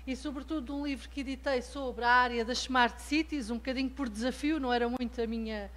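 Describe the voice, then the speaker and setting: A woman from Portugal with a European Portuguese accent, giving an academic keynote lecture in a measured way with frequent hesitant fillers. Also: on the high side, fairly even intonation, fairly bright tone. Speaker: guest lecturer. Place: lecture hall.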